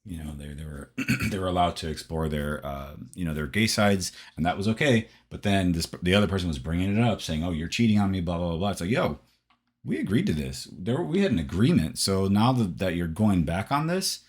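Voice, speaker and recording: A man's voice: sits low, animated, fairly quick, husky. A young man with a Wisconsin accent, giving easygoing, thoughtful advice as a guest on a casual podcast. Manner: easygoing, thoughtful, laughs easily. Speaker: guest co-host, the host's boyfriend.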